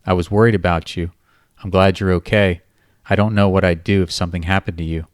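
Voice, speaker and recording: A man voicing a grown, smooth-talking man who coaxes and soothes. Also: deep voice, steady tone, a little fast, no echo, studio quality, lightly acted. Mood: coaxing. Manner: coaxing, soothing.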